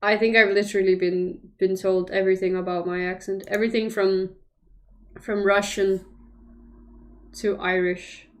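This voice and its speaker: A woman's voice: mid-range voice, little pitch movement, moderate pace. A young woman from Denmark, commentating chess live on a stream and thinking aloud over the moves with hesitant restarts. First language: Danish.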